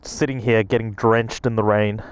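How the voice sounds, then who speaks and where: A man's voice: lowish voice, steady tone, moderate pace, clear, resonant tone. A man giving casual, chatty vlog commentary as a motorcycle gear reviewer, speaking from inside a helmet out on the road. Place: outdoors on a motorcycle on public roads. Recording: loud engine and wind noise.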